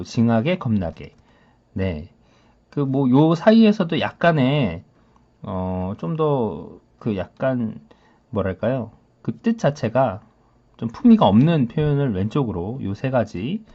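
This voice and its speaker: Guy teaching korean words, pitch goes up and down a lot, asks little check questions as he goes, kinda dull lo-fi audio. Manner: explains step by step, checking in with rhetorical questions. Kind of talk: informal explanatory lecture on vocabulary. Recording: dull, lo-fi sound.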